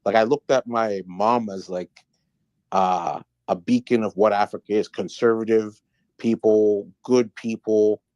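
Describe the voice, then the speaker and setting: A man's voice: lowish voice, steady tone, unhurried, a little mellow, no room sound, lo-fi sound. A young man from Michigan calling into a live show, hesitant and full of fillers and restarts. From United States (Michigan). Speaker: caller. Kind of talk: casual call-in talk.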